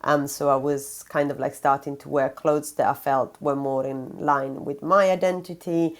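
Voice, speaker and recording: A woman's voice: sits low, fairly even intonation, moderate pace. A woman in her thirties from Italy with an Italian accent, a native Italian speaker, explaining things as an interview guest. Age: 30s.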